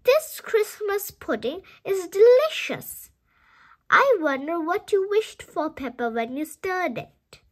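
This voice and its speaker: A woman voicing a kind, cheerful mother pig from a storybook in an animated, reassuring manner. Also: extremely high pitch, takes it slowly, exaggerated voice. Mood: delighted.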